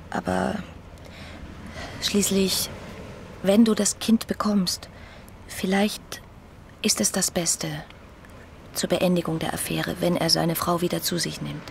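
A woman's voice: lowish voice, vocal fry, slight background noise. An actress in a German radio drama playing a concerned, pragmatic confidante of a lady.

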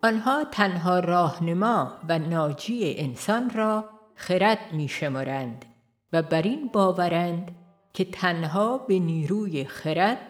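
A woman reading a nonfiction audiobook aloud in a measured, earnest manner, posing rhetorical questions as she goes. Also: lowish voice. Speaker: audiobook reader. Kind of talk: scripted nonfiction narration.

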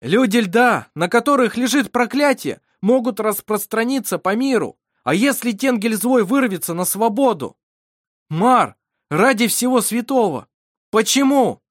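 Casting: loyal young man in a fantasy audiobook, high strained pleas, loud, near tears one narrator acting him. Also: animated, unhurried, no room sound, studio quality. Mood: desperate pleading. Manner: near-sobbing, loud, beseeching.

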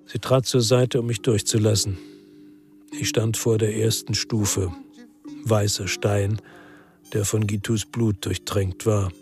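Low-voiced weary noir narrator, gravelly. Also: male voice, flat delivery, takes it slowly, a little mellow, faint music behind. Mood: brooding. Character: world-weary ex-policeman narrating a noir crime story. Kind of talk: literary crime radio drama narration.

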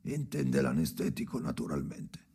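A man playing a haunted, brooding storyteller in an experimental radio drama, speaking in halting, repeated fragments with a creaky voice that often drops to whispers. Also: very deep voice, very animated, background noise. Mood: bleak, tense. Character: haunted brooding man narrating memories alone by the sea.

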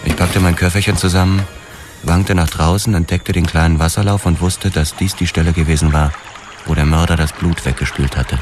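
Very deep-voiced grim detective narrator, played by a man. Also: fairly even intonation, music in the background, close to an everyday voice. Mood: shaken, brooding. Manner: measured, clipped, grim storytelling. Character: haunted, hard-boiled male police detective recounting his investigation. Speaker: narrator and lead character in a radio drama. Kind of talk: scripted first-person noir crime narration, radio drama.

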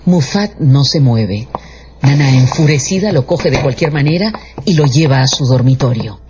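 A man's voice: mid-range voice, expressive, normal speed, noisy background. A man giving scripted dramatic narration as the storyteller of a radio drama. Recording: dull radio production with music underneath.

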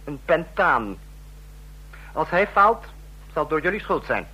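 A man's voice: on the high side, big pitch swings, measured pace, sharp tone, husky, exaggerated voice, low-quality recording. A man acting in a Dutch radio play as a stern, contemptuous commander with a cutting, threatening manner. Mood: scornful. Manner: cutting, sarcastic, authoritarian. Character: stern, contemptuous, menacing commander of a fascist state.

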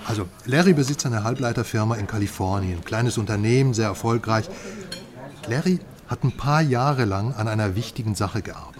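An actor playing a secretive, mistrustful informant who explains eagerly in a German radio crime drama. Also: male voice, on the low side, fairly quick, crowd noise, lightly acted. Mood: eager explaining.

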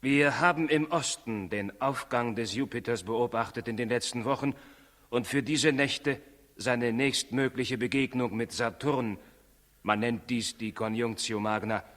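An actor in a 1960s radio play as a learned, courteous astrologer, explaining in a formal, declamatory way. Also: male voice, medium pitch, unhurried, fairly bright tone, natural voice. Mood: solemn, explaining. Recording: 1960s radio play, long reverberant hall.

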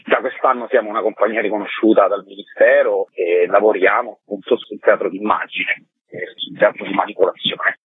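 A man's voice: fairly low pitch, steady tone, brisk, husky, no room sound. A man, a theatre director being interviewed for radio, explaining his project over a phone call. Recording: muffled narrow phone line.

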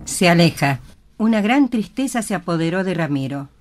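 A woman's voice: fairly low pitch, expressive, moderate pace. A woman reading scripted, dramatic narration for a radio play.

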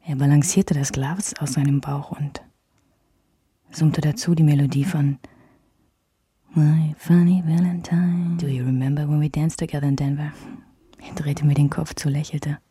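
A woman's voice: low-pitched, fairly even intonation, normal speed, warm tone, husky. An actress playing a wistful, candid woman recalling a love triangle in intimate, reflective first-person radio drama narration. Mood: tender, intimate. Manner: reflective, alternates German with English phrases.

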